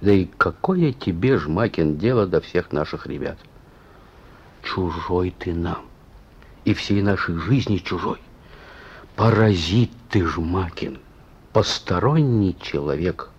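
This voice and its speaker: An actor playing a shrewd, stern police chief with an ironic, folksy way of talking in a Soviet radio play. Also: male voice, sits low, expressive, slow pace, husky, exaggerated voice. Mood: grim, stern. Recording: muffled old radio recording.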